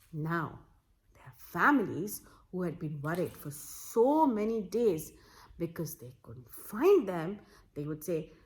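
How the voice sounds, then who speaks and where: A woman hosts an animated storytelling session for children. Her pitch moves widely as she narrates a folktale, and she repeats words for dramatic emphasis. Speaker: storyteller and host.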